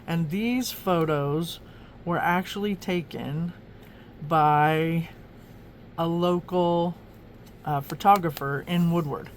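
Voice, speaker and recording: A woman's voice: lowish voice, slow pace, long pauses, slight background noise. A woman from Oklahoma chatting casually as she flips through her journal, rambling with lots of ums and restarts. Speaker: journaling vlogger.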